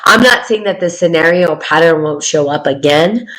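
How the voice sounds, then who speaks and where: Loud, low-voiced woman podcaster. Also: lively intonation, moderate pace, rough recording. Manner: rambling, laughs often. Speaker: podcast co-host. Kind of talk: casual chat.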